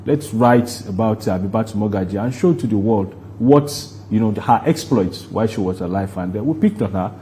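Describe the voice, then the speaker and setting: A man with a Nigerian accent answering interview questions in a deliberate, halting way, explaining things at length. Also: lowish voice, warm tone, a little hiss or hum. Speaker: guest, stage play producer.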